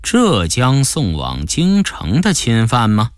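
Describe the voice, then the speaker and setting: A haughty high official of the imperial Chinese court, played by a male storyteller, suave and teasing. He takes his time over each phrase with lordly deliberation, the pitch swinging widely, in a very dry recording. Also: huge pitch swings, dry recording. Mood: commanding. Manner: slow, deliberate, lordly drawl.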